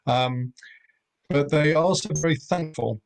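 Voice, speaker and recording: A middle-aged man from Europe who runs a rural guesthouse, speaking on a panel in a measured, hesitant way with many ums. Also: fairly high pitch, vocal fry, slight background noise, poor audio. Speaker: rural accommodation owner. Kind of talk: webinar panel talk.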